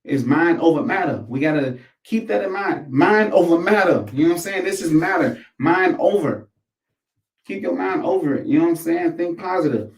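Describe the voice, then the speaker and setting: Male, American, higher register. Slangy, profane, repetitive rambling commentary, recorded roomy and off-mic. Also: lively intonation, normal speed, gravelly. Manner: slangy, profane, repeats phrases for emphasis. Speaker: host of a commentary show. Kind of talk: casual rambling commentary to camera. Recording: echoey room, distant microphone.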